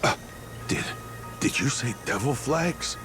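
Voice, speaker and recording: An actor playing a nervous, knowledgeable sailor, speaking in an emphatic, explanatory way in a game cutscene. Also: male voice, mid-range voice, lively intonation, slow pace. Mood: wary. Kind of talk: dramatic game cutscene acting. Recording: music under the voice.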